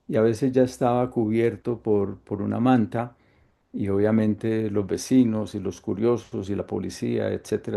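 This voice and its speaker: Colombian guy on a video call, mellow gravelly voice, slow and thoughtful, lots of pauses. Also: medium pitch. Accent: Colombian Spanish. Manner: calm, thoughtful, with frequent hesitations. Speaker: workshop facilitator. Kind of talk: reflective online workshop talk.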